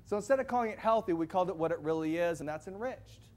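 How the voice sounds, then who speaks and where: A man giving a loud, projecting sales presentation to a live audience, firing questions at the crowd. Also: sits high, expressive, fast. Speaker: product presenter.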